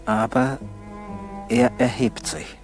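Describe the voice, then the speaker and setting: A man narrating a radio play solemnly, in a measured way with dramatic pauses. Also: mid-range voice, faint music behind.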